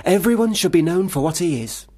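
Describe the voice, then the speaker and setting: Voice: mid-range voice, animated, fairly quick, mellow, natural voice. An actor in a radio play playing a bossy, imaginative young boy who speaks in a pompous, pedantic, declaiming way. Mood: matter-of-fact, lofty. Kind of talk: radio drama acting.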